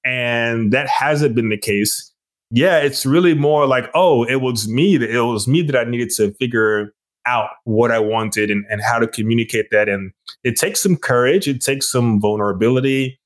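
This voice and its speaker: A man hosting a podcast interview, relaxed and encouraging, murmuring along while his guest talks. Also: medium pitch, moderate pace. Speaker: podcast host.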